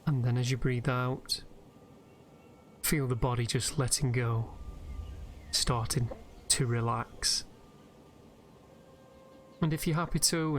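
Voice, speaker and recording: A man narrating a guided meditation over gameplay in a gentle, unhurried, soothing way with pauses. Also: medium pitch, slight background noise. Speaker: guided relaxation host and gamer. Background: soft background music from a video game.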